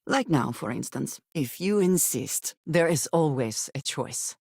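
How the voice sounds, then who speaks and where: An actress playing a guarded, solemn woman spacefarer in a video game, speaking in measured, formal phrases. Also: a bass voice, animated, creaky, a little mellow, breathy edge, no room sound, studio quality, exaggerated voice. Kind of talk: video game character dialogue.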